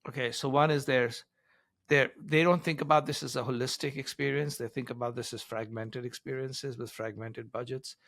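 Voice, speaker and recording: A man's voice: medium pitch, fairly even intonation, moderate pace, fairly bright tone, no room sound. Older man explaining with emphasis, dry sound. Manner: emphatic, explains in numbered points with acted-out quotes. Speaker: business author and advisor being interviewed. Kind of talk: conversational explanatory interview answers.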